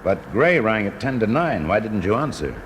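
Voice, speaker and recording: An actor with a British accent playing a confident, shrewd fire investigator in a radio drama, brisk, urbane and teasing. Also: male voice, on the low side, sing-song. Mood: probing. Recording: noisy classic radio drama recording.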